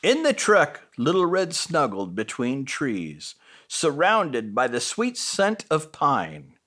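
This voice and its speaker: A man tells a children's bedtime story in an expressive reading style. His voice sits a little high, swings widely in pitch and moves at a slow, measured pace.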